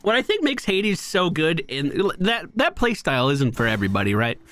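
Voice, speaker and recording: A man's voice: fairly high pitch, very animated, moderate pace, a bit bright, a little hiss or hum. A man streaming a video game with casual, chatty commentary, explaining things with playful slang.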